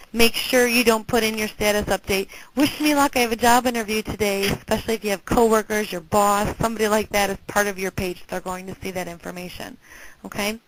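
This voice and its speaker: A woman giving an informal instructional presentation in a conversational, directive way, often checking in with her listeners. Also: mid-range voice. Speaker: presenter, alumni relations career staffer. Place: group conference call. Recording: clearly noisy conference call with clicks.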